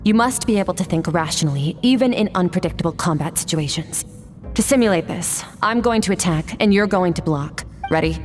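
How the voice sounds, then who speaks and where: An actress playing a cool, stoic sword master in a video game, composed, blunt and measured as she trains a pupil. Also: on the low side, lively intonation, soft background music, close to an everyday voice. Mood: cool, instructive.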